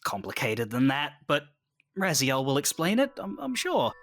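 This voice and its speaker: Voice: mid-range voice, wildly swooping pitch, normal speed, a bit bright. An actor voicing a courteous, good-natured and slightly hesitant tailed guard in a fantasy audio drama. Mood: helpful, hesitant.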